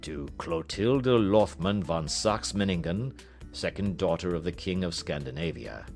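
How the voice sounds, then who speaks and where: A man voicing an anxious, proud king in a grave, formal, deliberate manner, in an audiobook story. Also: low voice, expressive, a little mellow, faint music behind. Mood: grave, troubled.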